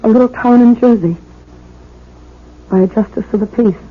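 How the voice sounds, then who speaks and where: An actress playing a fragile, anxious young bride in a 1970s radio drama, speaking in a halting, plaintive way. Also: female voice, a little high, breathy edge. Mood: plaintive, insistent. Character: fragile, anxious young bride doubting her own sanity. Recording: muffled old radio broadcast.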